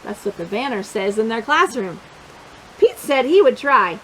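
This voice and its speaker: A woman with a high, very animated voice reads a children's storybook aloud. Her delivery swings widely in pitch, and she speaks directly and encouragingly to little listeners. A steady hiss runs behind her voice. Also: moderate pace, low-quality recording. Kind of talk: animated picture-book read-aloud with questions to young listeners.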